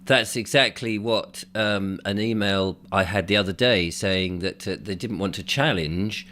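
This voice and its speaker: A man hosting an interview, croaky from a sore throat, chatting with loose rambling asides. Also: on the low side, a little slow, bright, forceful tone, a little hiss or hum. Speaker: host.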